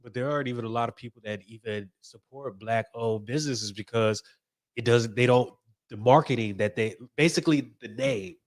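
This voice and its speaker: A man's voice: medium pitch, brisk. A man co-hosting a talk show, reasoning aloud with hesitant restarts. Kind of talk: casual talk-show discussion.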